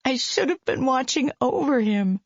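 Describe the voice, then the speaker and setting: A tearful young mother, pleading and close to breaking, her words rising high with strain, as a woman reader takes her on. The read is dry and a little muffled. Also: expressive, normal speed, no echo. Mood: distraught. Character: distraught young mother, tearful and self-blaming.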